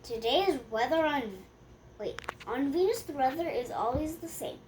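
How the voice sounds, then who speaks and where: A young girl reading a rhyming picture book aloud in a halting way, stumbling and correcting herself. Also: high-pitched, lively intonation, darkish tone, a little hiss or hum, rough recording. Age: child.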